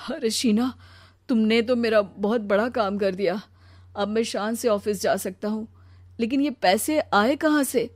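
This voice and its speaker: A woman's voice: fairly high pitch, measured pace. A woman voicing a weary, pleading husband worn down by his burdens in a dramatised story. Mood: relieved. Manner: pleading, exasperated. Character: weary, overburdened husband, anguished office worker. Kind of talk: dramatised Hindi audio story.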